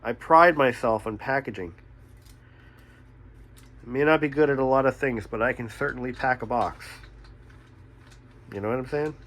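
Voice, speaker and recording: A man's voice: mid-range voice, normal speed, pauses often, a bit bright. A man chatting casually and thinking aloud as he works through a repair, often asking himself questions. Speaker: radio repairer presenting own video. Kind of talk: casual chat while doing a repair.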